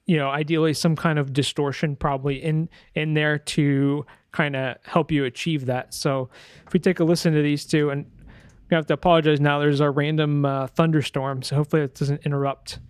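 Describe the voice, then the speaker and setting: Male tutorial narrator, slightly higher pitch, hesitant, informal, thinking aloud, very dry sound. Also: level tone, brisk, smooth, no room sound. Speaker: sound designer giving a tutorial.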